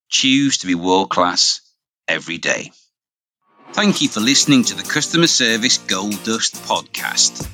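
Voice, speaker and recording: Man, podcast host, bright lively delivery, dry close sound, faint music bed. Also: low-pitched, dry recording. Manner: upbeat, emphatic, coaching tone. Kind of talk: solo motivational podcast talk.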